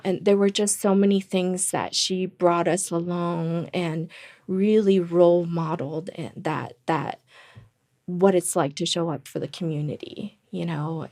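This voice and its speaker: A woman's voice: on the low side, a little mellow. A middle-aged woman from Taiwan, a podcast guest talking earnestly about her family, with many fillers and restarts. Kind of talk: interview conversation.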